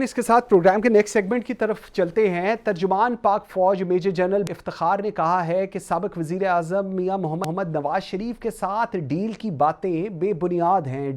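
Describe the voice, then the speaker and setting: A woman's voice: mid-range voice, a little mellow. A woman with a Pakistani accent presenting a political talk show and putting questions to guests.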